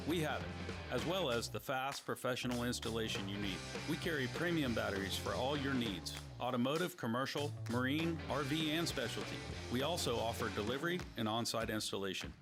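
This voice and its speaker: A man's voice: medium pitch, expressive, brisk. A man with an American accent delivering a scripted local radio advertisement. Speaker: commercial announcer. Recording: loud guitar music bed.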